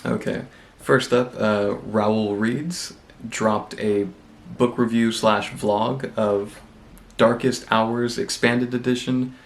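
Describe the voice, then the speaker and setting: A young man with a cold, congested, chatting casually and rambling in a relaxed, self-deprecating way as a book vlogger. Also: fairly low pitch, takes it slowly. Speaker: booktube vlogger. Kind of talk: casual vlog chat.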